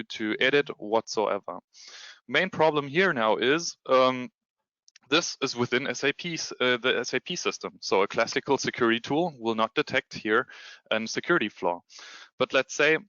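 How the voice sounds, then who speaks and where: A man's voice: mid-range voice, sharp tone, no echo, dull audio. A man with a German accent giving a webinar presentation with screen share, hesitant, with many ums and restarts. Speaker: security consultant presenting a demo.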